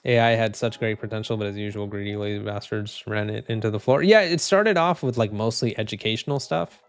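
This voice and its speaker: A man streaming casually, reading chat comments in a low, even, unhurried voice with lots of fillers and restarts. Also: clear, resonant tone, soft background music. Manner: laid-back, rambling, many fillers and restarts.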